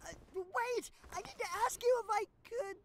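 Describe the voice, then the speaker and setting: A performer voicing an excitable, nervous, earnest teenage schoolboy in a comedic anime parody dub, yelping and flustered. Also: very high voice, normal speed, a little hiss or hum. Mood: pleading.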